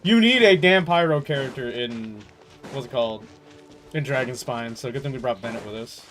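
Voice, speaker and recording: A man's voice: mid-range voice, animated, moderate pace, fairly bright tone. A man giving casual gameplay commentary, rambling and musing to himself as he plays. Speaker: game streamer. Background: game music.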